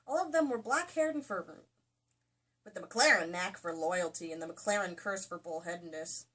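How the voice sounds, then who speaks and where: A woman's voice: on the low side, brisk, fairly bright tone. A woman doing a comedic dramatic reading of a novel as host, giggly and theatrical, with mock-dramatic emphasis. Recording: distant mic, clearly noisy room sound.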